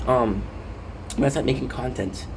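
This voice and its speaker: A man's voice: mid-range voice, moderate pace, vocal fry, noisy background. A French Canadian man with a Québécois French accent, talking casually and ramblingly while streaming a game, with many fillers and restarts. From Quebec, Canada. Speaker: gaming streamer.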